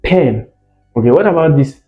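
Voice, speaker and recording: A man's voice: on the high side, expressive, moderate pace. A man teaching a lesson, explaining didactically, asking questions and repeating key words for emphasis. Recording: muffled, echoey room with faint music behind.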